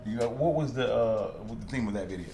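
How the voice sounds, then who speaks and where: A man's voice: medium pitch, quick pace, mellow, reverberant, faint music behind. A man hosting an interview, bantering casually in slang and swearing freely. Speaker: interview host.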